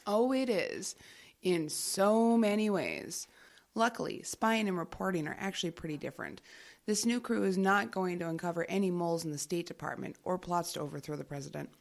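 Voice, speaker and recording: An actress playing a secretive government agent in an audio drama, dry and unflappable. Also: female voice, on the low side, expressive, moderate pace, vocal fry. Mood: wry.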